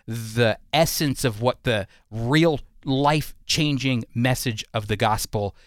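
A man's voice: mid-range voice, slow pace, no room sound, clean studio sound. A man hosting a program, talking through issues in a conversational interview style.

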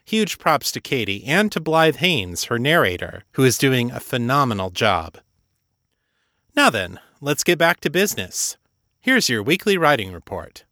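A man's voice: medium pitch, big pitch swings, a little slow, sharp tone, no echo. Dry-recorded man hosting a podcast. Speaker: podcast host. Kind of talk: podcast interviewing and scripted host narration.

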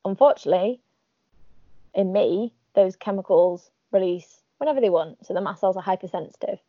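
A woman's voice: medium pitch, fairly quick, dry recording, phone-quality sound. A woman from Northern England with a Northern English accent, chatting casually and wryly as a podcast guest. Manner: chatty, wry, laughs at herself. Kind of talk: casual interview chat.

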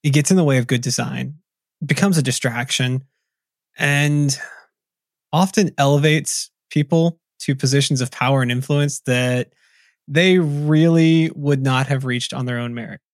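A man from Tennessee co-hosting a casual podcast, reading out discussion points in a relaxed, agreeable way. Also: medium pitch, a little slow, dry recording, clean studio sound. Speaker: podcast co-host. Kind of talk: casual chat, reading list items.

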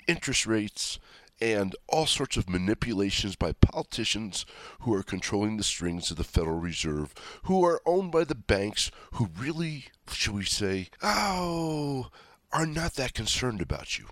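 Stuffy-nosed folksy radio host. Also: male voice, lowish voice, a bit bright, no room sound. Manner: folksy, chatty, rambling asides. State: stuffy from a cold. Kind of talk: conservative talk radio monologue.

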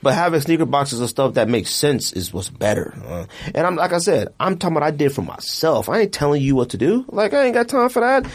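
A man's voice: fairly high pitch, fast, hardly any pauses. A man in his late thirties hosting a casual podcast, talking in an opinionated, slangy, emphatic way. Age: late 30s. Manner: animated, emphatic ranting with slangy address. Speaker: podcast host.

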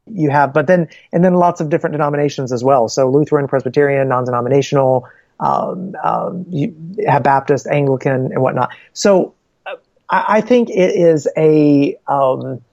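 A man answering interview questions as a guest from a Bible publishing ministry, in an earnest, measured way that builds each point step by step. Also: a little high, a little mellow, no room sound. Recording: slightly dull, lo-fi sound.

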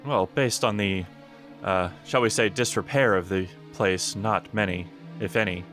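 Bright-voiced, playful man at game table. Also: fairly low pitch, steady tone, unhurried, soft background music. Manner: playful, jokey. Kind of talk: casual banter during a tabletop game.

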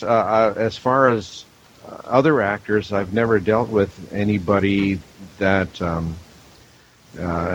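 A man with a fairly low voice talks casually as a panel guest. The recording is dull and lo-fi, with faint hiss behind him. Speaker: audio drama producer and director, panel guest. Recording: dull lo-fi audio with clear hiss.

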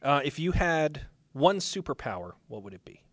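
A man's voice: a little high, very animated, moderate pace, fairly bright tone, no echo. Give me a man hosting a casual podcast interview. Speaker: podcast host. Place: live panel with a small audience.

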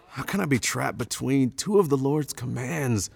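A man's voice: medium pitch, lively intonation, measured pace, vocal fry, slightly rough, exaggerated voice. An actor playing a troubled, earnest betrothed man torn by conscience, hesitant and anguished, in a biblical audio drama.

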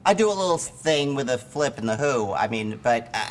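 A man's voice: mid-range voice, lively intonation, brisk. A man improvising in character during comedy banter, rambling and folksy with many restarts.

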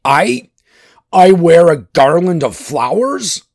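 A man voicing a mighty, proud thunder god from a myth, rough and blustering in manner. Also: on the low side, animated, slow, no room sound, clean studio sound. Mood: indignant. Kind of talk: dramatic myth storytelling.

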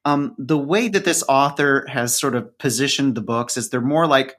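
A man speaking as a podcast guest in a conversational interview, enthusiastic, with measured phrasing and elaborate word choices. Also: mid-range voice, a bit bright.

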